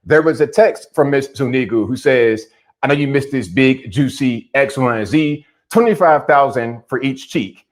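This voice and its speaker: A man's voice: mid-range voice, normal speed. A man giving opinionated commentary on a news story, talking bluntly and directly. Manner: direct, addresses subjects bluntly.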